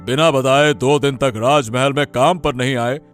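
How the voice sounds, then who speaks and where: A man's voice: medium pitch, fairly even intonation, moderate pace, faint music behind, close to an everyday voice. Stern, commanding cartoon king, acted by a man. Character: stern, indignant king scolding his servant. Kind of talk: Hindi cartoon story voice acting.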